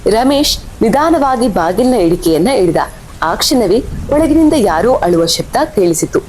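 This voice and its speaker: A woman's voice: big pitch swings, normal speed, darkish tone, poor audio. A woman reading scripted horror story narration as the storyteller. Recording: faint rumble and rain behind voice.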